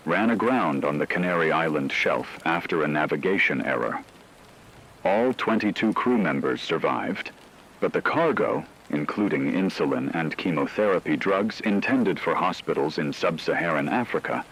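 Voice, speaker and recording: A man delivering scripted documentary-style news narration in a measured, formal, grave manner. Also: low voice, fairly bright tone, slight background noise, poor audio. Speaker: radio broadcast host.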